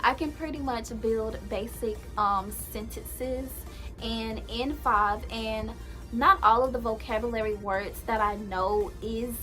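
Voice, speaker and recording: Chatty young woman, higher voice. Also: steady tone, slow pace, sharp tone, faint music behind, rough recording. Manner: chatty, many "like" and "um" fillers, emphatic repeats. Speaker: language-learning vlogger. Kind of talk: casual talk to camera.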